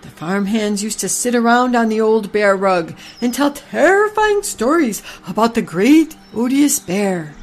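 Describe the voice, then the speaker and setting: A woman playing a gentle old storytelling cow. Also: a little high, lively intonation, measured pace, few pauses, voice pushed up. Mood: reminiscing. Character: old motherly cow, female, fond of tales.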